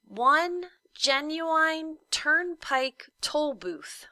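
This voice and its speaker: A woman voicing a formal printed notice in a whimsical storybook, official and measured, drawing out key words. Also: high-pitched, lively intonation, sharp tone, dry recording, voice pushed up, heavily acted. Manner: official, measured, drawn-out emphasis.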